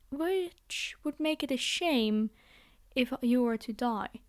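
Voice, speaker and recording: A woman's voice: on the high side, a little mellow, no echo, clean studio sound. A woman playing a haughty, possessive vampire noblewoman in an ASMR fantasy roleplay, speaking in a theatrical, condescending and formal way. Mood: fascinated. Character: haughty, possessive vampire noblewoman, polite yet menacing captor.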